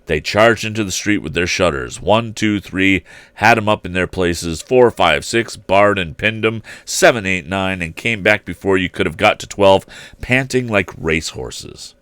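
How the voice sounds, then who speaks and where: A man narrating a storybook aloud as a storyteller, expressive and theatrical with deliberate emphasis. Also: sits low, clear, resonant tone, no room sound. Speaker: storyteller, livestream host.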